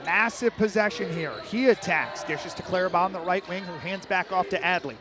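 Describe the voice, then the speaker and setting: A man doing live radio play-by-play of basketball as the announcer, calling the action in clipped, rapid-fire phrases. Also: sits high.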